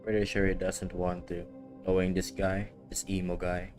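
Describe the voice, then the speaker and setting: A man with a low voice chats offhandedly as he plays a game on stream. His commentary is casual and joking, with game music clearly heard behind him. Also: flat delivery, normal speed. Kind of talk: casual live gameplay commentary.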